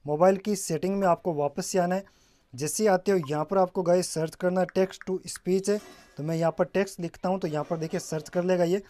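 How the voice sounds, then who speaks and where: A man's voice: high voice, fairly even intonation, fairly quick, dark tone. A man presenting a casual step-by-step tech tutorial, often addressing viewers as guys and mixing in English terms.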